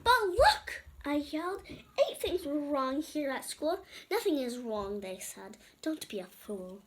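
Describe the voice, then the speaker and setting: High-voiced young girl reading a storybook. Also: big pitch swings, slow pace. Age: child. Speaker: storybook reader and channel presenter. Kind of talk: reading a picture book aloud with informal asides to young viewers.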